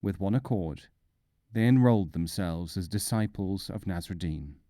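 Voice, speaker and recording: A man narrating folk tales as an audiobook reader, in a measured, expressive storytelling manner. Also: low-pitched, dry recording, studio quality.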